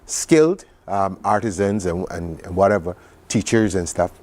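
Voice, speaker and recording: A man's voice: low-pitched, moderate pace, a little mellow. A Barbadian man speaking conversationally as a television interview guest, recounting things in an enthusiastic, reflective way. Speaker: studio guest recounting a trip.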